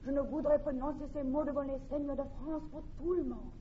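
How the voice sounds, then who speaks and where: Woman acting a high, playful young French princess. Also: fairly even intonation, close to an everyday voice. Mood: scandalised. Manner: deliberate, exclamatory word-by-word delivery. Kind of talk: theatrical radio comedy scene in French. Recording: dull, noisy old radio recording.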